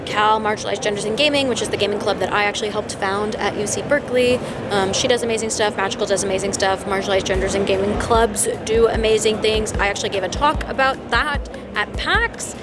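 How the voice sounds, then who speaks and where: A woman's voice: mid-range voice, fast talker, few pauses, over music. An American woman giving a casual, enthusiastic, rambling vlog recap to her viewers. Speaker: vlogger and game producer.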